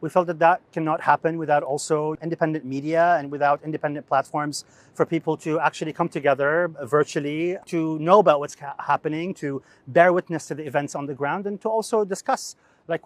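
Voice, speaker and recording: A middle-aged man from Syria, an executive editor speaking to camera in an interview, pausing often with fillers and restarts. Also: fairly high pitch, fairly even intonation, no room sound. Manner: hesitant, many fillers and restarts. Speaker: executive editor of a media outlet.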